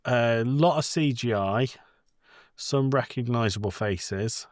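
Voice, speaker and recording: A man's voice: mid-range voice, slow pace, no room sound, clean studio sound. A man co-hosting a podcast, talking casually about film in a blunt, wry way with some swearing. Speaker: podcast host.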